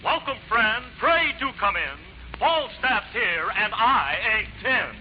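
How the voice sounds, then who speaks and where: An actor playing a pompous rhyming poet called Falstaff in a 1940s radio comedy, reciting verse. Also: male voice, high voice, very animated, takes it slowly, exaggerated voice. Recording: dull, noisy 1940s radio recording.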